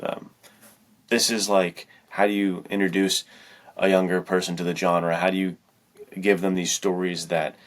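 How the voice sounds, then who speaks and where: Deep-voiced man, earnest podcast talk. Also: flat delivery, normal speed. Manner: earnest, reflective. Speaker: podcast co-host and fiction writer. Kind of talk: casual podcast chat.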